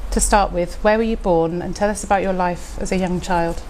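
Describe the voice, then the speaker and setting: A woman's voice: on the low side, fairly even intonation, a little fast, a little mellow, slight background noise, low-quality recording. A woman speaking as a talk show host, introducing and interviewing in a presenter's style. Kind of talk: broadcast interview hosting.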